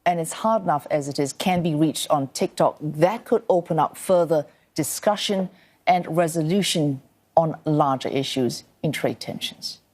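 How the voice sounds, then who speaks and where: A man's voice: a little high, normal speed, darkish tone. A man anchoring the news in a formal broadcast delivery, handing over to reporters. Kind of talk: news presenting and interviewing.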